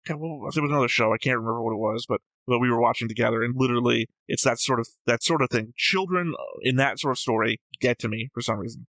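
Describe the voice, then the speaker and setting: Blunt man, bright forceful voice. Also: mid-range voice, fast talker, hardly any pauses, dry recording. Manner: blunt, analytical, flippant. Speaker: host of a show reaction video. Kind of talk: casual opinionated discussion.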